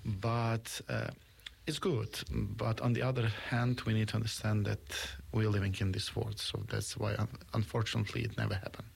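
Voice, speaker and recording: Husky, gravelly low-voiced man from Ukraine. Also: flat delivery. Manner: halting, searching for words, earnest. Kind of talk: conversational interview.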